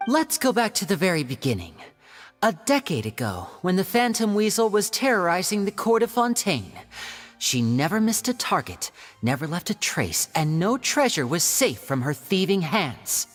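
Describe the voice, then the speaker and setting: An actress playing a poised young female magician in a video game, speaking in a measured, teasing, theatrical way. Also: on the low side, very animated. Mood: storytelling. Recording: game audio with reverb and music.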